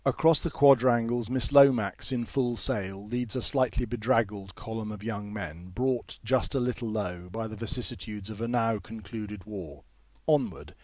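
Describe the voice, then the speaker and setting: A man narrating a literary story from a script in a precise, measured manner. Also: lowish voice, a little mellow, no echo. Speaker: author and narrator.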